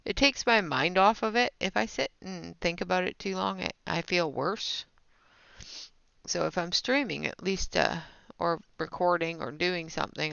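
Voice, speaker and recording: A woman's voice: on the low side, vocal fry, fairly bright tone, clean tone, no echo. A woman hosting a casual live coloring tutorial, chatting with viewers and talking through each step, sounding stuffy and sniffly with a sinus infection. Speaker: livestream art host. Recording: dull lo-fi, dry sound.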